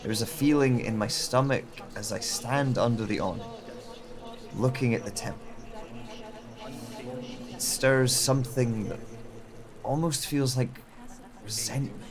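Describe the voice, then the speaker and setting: A man playing a brooding wanderer with lost memories, narrating an audio drama in the first person in a measured, reflective way. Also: medium pitch, close to an everyday voice. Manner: measured, reflective, with deliberate pauses. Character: brooding amnesiac wanderer narrating his journey. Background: chatter of other voices.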